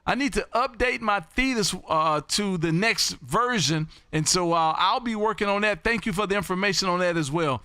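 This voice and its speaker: A man hosting a live stream from his home radio room, folksy, loud and repetitive as he calls out call signs on air. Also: high voice, normal speed, hardly any pauses, clear, resonant tone, dry recording, studio quality. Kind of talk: casual on-air radio chat.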